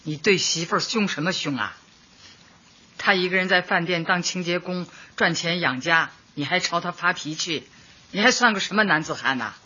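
A woman playing a kindly, plain-spoken community party secretary in a Chinese radio drama, motherly as she advises and gently scolds. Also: fairly low pitch, moderate pace, husky. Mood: chiding. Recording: muffled radio drama with music underneath.

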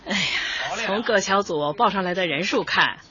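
A woman's voice: medium pitch, animated, normal speed, lo-fi sound, crowd noise, lightly acted. An actress playing a bold, hearty middle-aged neighbourhood woman with a Northeastern Chinese accent in a radio comedy, folksy and forthright. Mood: earnest. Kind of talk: radio comedy drama acting.

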